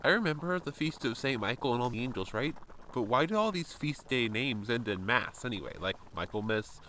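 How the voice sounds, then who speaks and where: A man's voice: mid-range voice, expressive, fairly quick, pushed and bright. An actor playing a weary, wounded American airman, hesitant and plain-spoken, in a family audio drama. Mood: curious. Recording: echoey room, faint background noise.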